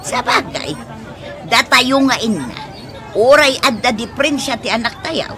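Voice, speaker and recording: Sharp-voiced nosy village mother. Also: a little high, big pitch swings, measured pace, a faint crowd, slight background noise, heavily acted. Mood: grumbling, goading. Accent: Ilocano. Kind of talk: Filipino radio drama acting.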